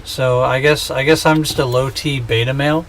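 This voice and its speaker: A man's voice: mid-range voice, steady tone, normal speed, slight background noise. A man in his thirties chatting casually on a gaming livestream, joking and rambling with self-mocking humor. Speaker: streamer.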